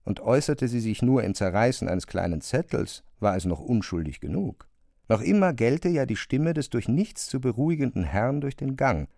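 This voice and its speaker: A man reads literary prose in a measured, articulate way, as a narrator. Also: medium pitch, mellow, no room sound, studio quality.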